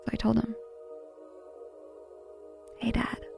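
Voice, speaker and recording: An actress playing a conflicted woman, blunt yet vulnerable, with halting, intense delivery in a sci-fi audio drama. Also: darkish tone, soft background music, lightly acted. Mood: wistful, hurt.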